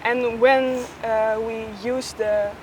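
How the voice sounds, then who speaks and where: A woman explains things in an interview. Her voice is on the high side and her pace is very slow, with faint noise in the background. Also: flat delivery. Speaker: audio play maker.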